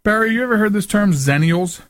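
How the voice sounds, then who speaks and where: A man's voice: high voice, lively intonation, fairly quick, fairly bright tone, no echo. A middle-aged man hosting a talk show in a casual, rambling, self-deprecating way, sometimes reading aloud. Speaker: radio talk show host. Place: small studio at a desk.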